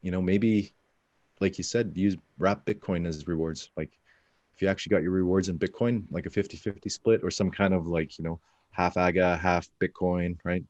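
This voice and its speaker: Brief: low, deep male host, dry, muffled call-quality sound. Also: steady tone, normal speed, a little mellow, no echo. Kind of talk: video call interview. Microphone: earphones with cable mic, video call. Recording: dull, dry video call audio.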